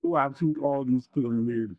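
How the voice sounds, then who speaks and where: A man asking interview questions conversationally, in measured phrases broken by pauses. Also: mid-range voice, no echo, lo-fi sound. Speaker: podcast interviewer.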